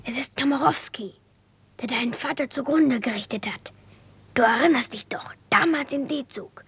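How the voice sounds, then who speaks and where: Very husky, secretive boy. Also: high-pitched, moderate pace, a bit bright, exaggerated voice. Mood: hushed, insistent. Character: secretive young boy confiding in his friend. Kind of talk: radio drama acting.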